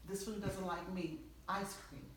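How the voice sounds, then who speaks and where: A woman's voice: mid-range voice, steady tone, a little slow, a loud crowd. A woman speaking casually and hesitantly as an employee taking part in a group activity. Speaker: marketing manager in a team game. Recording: very echoey room, distant, noisy.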